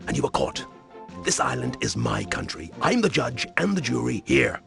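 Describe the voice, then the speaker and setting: A man voicing a smug, menacing island boss in a video game, mocking and theatrical, with drawn-out threats. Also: mid-range voice, wildly swooping pitch, creaky, music in the background, full character voice.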